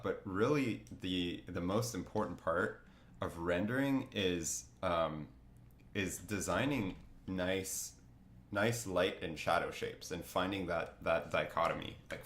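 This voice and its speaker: Low-voiced sniffly man rambling on stream. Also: a bit bright, roomy sound. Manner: rambling, many fillers and restarts. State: sniffly from a cold. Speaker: artist streaming a drawing session. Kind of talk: casual livestream chat while drawing.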